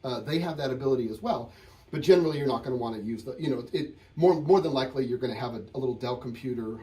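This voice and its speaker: A man's voice: mid-range voice, rapid-fire, mellow, slightly rough, poor audio. A man presenting an informal hands-on tech tutorial, explaining conversationally and often rephrasing his points. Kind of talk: informal hands-on software and hardware demo.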